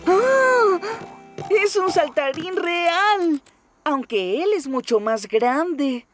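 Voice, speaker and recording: A woman voicing a cheerful, eager young male steam locomotive in an animated children's dub, earnest and exclaiming, with a Latin American Spanish accent. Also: very high-pitched, drawn-out words, soft background music, heavily acted. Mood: eager, startled.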